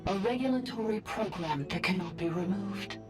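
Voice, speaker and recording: An ancient female ruler from a video game acted by a woman, solemn and full of regret. She speaks in a medium-pitched, deliberate, formal voice with music clearly playing behind her. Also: close to an everyday voice. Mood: sorrowful. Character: ancient female dragon sovereign in a service bot, solemn and regretful. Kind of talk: dramatic video game voice acting.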